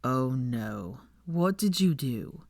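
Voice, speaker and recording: A sardonic young man from a wizard storybook, voiced by a woman narrator. He is cool and protective, in a lowered register. The sound is dry and close. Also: expressive, slow, darkish tone, no echo, full character voice. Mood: wary. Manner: cool, feigned bewilderment or blunt anger.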